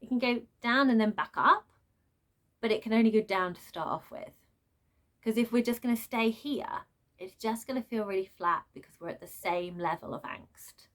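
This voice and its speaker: A woman's voice: fairly high pitch, moderate pace. Woman in an unscripted book rant. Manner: animated, sarcastic. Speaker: book reviewer. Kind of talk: unscripted book rant with read-aloud excerpts.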